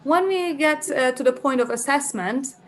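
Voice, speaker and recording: A woman's voice: high-pitched, normal speed, a little hiss or hum. Hesitant Hungarian woman presenting a talk. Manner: hesitant, many fillers and restarts while thinking aloud. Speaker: conference presenter. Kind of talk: academic conference presentation.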